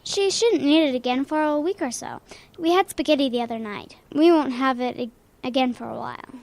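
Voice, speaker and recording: A woman's voice: high-pitched, a little fast, vocal fry, a little mellow, no echo. A female performer playing a helpful, earnest young girl in a children's radio play, hesitant and full of questions. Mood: earnest, unsure.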